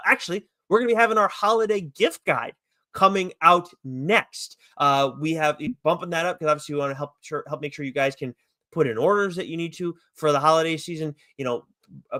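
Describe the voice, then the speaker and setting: A man with a fairly high voice hosts a casual review podcast. He rambles along with enthusiasm and swears loosely as he goes. The sound is very dry, with no room on it. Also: sharp tone, dry recording. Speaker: podcast co-host reviewing comics.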